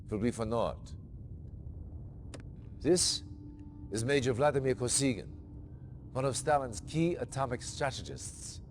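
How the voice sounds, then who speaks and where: An actor playing a solemn senior male officer delivering a mission briefing in a game cutscene, with dramatic pauses. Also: medium pitch, lightly acted. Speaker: military commander. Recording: long echoing reverb.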